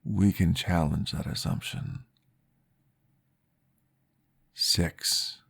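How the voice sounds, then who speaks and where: A courteous vampire therapist played by a man speaks in a very low, husky voice with a gravelly edge. He guides slowly and soothingly, with formal, gentle phrasing, in the manner of an ASMR roleplay. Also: exaggerated voice. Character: calm, courteous male vampire therapist guiding a patient.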